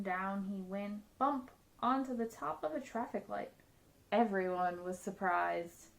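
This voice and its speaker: A woman reading a children's picture book aloud as a storyteller, with an expressive, dramatic delivery. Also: on the high side, vocal fry.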